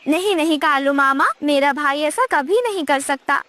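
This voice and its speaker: A woman voicing a gentle, anxious mother bird with a tender, pleading manner. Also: sits high, normal speed, dry recording.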